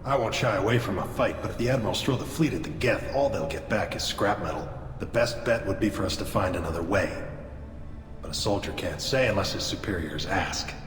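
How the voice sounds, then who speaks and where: Low-voiced loyal alien soldier. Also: male voice, expressive, a little fast, a bit bright. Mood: matter-of-fact. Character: loyal male alien marine, gruff, respectful, dryly humorous. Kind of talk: video game voice acting. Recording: echoey hall reverb, faint music.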